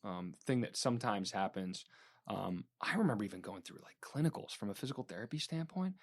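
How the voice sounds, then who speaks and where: A man's voice: on the low side, expressive, fairly quick. A man hosting a podcast, talking in an earnest, reflective way with some "um" and "like". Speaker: podcast host. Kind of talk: casual podcast conversation.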